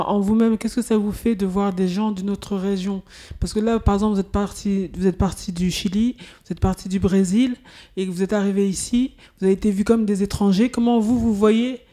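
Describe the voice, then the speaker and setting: Femme qui interroge, timbre chaud, formulations hésitantes. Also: female voice, medium pitch, warm tone. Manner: rambling, self-correcting questions. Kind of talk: radio interview question.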